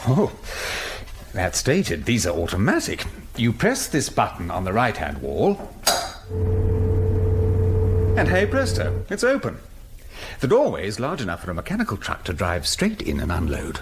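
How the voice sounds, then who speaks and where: A man's voice: fairly low pitch, wildly swooping pitch, moderate pace, pauses often, slight background noise. A British man acting in a radio drama as an eager, apologetic deputy, deferential and a little hesitant. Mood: eager, explaining.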